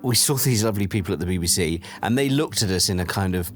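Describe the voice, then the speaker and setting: A man's voice: deep voice, animated, quick pace, soft background music. A middle-aged man recalling past events casually in an interview, telling anecdotes and acting out bits of conversation. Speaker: audio drama producer. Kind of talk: casual documentary interview recollection.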